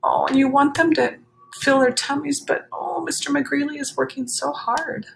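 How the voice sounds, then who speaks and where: A woman reading a children's picture book aloud, expressive, with chatty explanatory asides to young listeners. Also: fairly low pitch, creaky, slight background noise, poor audio.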